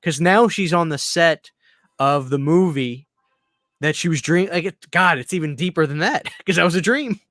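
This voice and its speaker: A man in his thirties co-hosting a podcast in casual chat, excitable and swearing a lot, often dropping to low mutters. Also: moderate pace, no echo, studio quality. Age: 30s. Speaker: podcast co-host.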